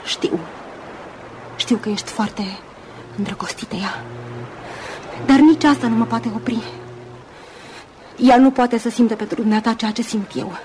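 An actress playing an earnest, lovelorn young woman in a Romanian radio play, speaking with halting intensity. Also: a little high, warm tone, a little hiss or hum, lightly acted. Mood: pleading, emotional. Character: Susan, earnest lovelorn young woman, self-effacing but insistent. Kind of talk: Romanian radio theatre acting.

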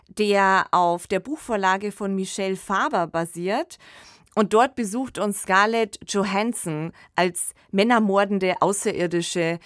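A woman's voice: medium pitch, dry recording, clean studio sound. A woman co-hosting a discussion podcast. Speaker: podcast co-host.

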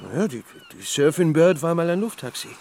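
An actor playing an earnest, polite android with a feeling chip in a science fiction radio drama. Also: male voice, fairly high pitch, sing-song, fairly quick, vocal fry, darkish tone, full character voice. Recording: faint noise behind.

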